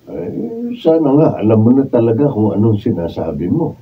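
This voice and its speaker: A man's voice: medium pitch, wildly swooping pitch, normal speed, slightly rough, exaggerated voice. A man playing a worried, protective father in a radio drama. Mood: concerned, admonishing. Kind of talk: Filipino radio drama acting. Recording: distant, phone-like lo-fi, faint noise.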